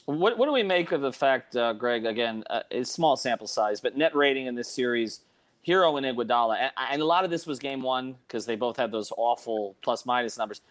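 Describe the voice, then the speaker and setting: Man, sports talk host, mid-pitched voice, halting, repeats himself, steers the panel. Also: animated. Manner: halting, repeats words as he thinks.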